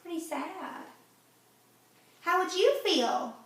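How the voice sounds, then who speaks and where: High lively lady reading a kids book slow, gentle teacher vibe, lots of echo, mic far. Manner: gentle, asks children questions, teacherly. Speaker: read-aloud host for children. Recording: very echoey room, off-mic.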